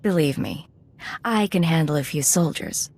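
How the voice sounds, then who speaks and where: A woman's voice: low-pitched, expressive, normal speed, a little mellow. An actress playing a cool, self-assured female undercover agent in a video game, composed, guarded and dryly confident. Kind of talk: video game dialogue acting.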